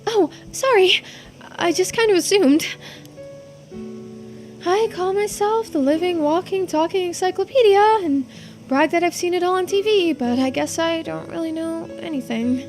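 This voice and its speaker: A woman's voice: very high voice, moderate pace, darkish tone, voice pushed up. A woman voicing an earnest, self-deprecating bookish youngster in a video game, apologetic and eager to help. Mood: embarrassed. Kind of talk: video game character voice-over. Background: music.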